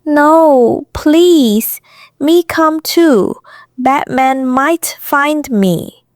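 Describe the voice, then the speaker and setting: An actress playing a frightened young Vietnamese woman in an audio drama, pleading in halting broken English with a Vietnamese accent. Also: high-pitched, dry recording, studio quality.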